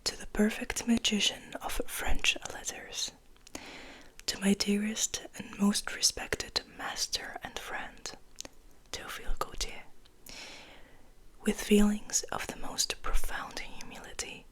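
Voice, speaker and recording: Young woman doing asmr, whispers real slow, soft n gentle, kinda rambly. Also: mid-range voice, level tone, slightly rough, rough recording. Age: young adult. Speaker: ASMR host, literature student.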